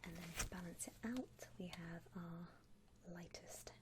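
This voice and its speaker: A woman plays a female vampire coffin seller in an ASMR roleplay. She speaks in a slow, low-pitched whisper. Her manner is attentive and gentle, with hesitant pauses and soft little hums. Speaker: ASMR performer playing a shop consultant.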